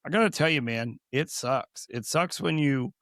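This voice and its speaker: Hoarse male co-host, gravelly and rambling, easygoing podcast talk. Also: on the high side, expressive, moderate pace, fairly bright tone, no echo, clean studio sound. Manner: rambling, with many restarts. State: hoarse, recovering from lost voice. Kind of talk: casual podcast chat.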